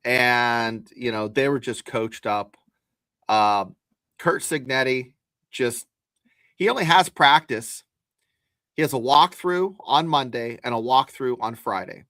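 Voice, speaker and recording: A man's voice: mid-range voice, slow, bright, forceful tone, no room sound, clean studio sound. A man co-hosting a sports podcast, explaining his takes in a folksy, casual way. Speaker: podcast co-host.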